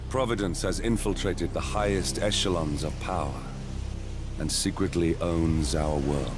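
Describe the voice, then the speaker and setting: A man giving dramatic scripted narration, slow, weighty and ominous. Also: low-pitched. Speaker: trailer narrator. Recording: game trailer over music.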